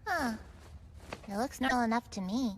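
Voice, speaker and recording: An actress playing a lazy, hungry, blunt girl in an animated comedy, listless and deadpan. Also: on the high side, normal speed, loud music behind the voice, lightly acted. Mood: indifferent. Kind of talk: animated comedy dub acting.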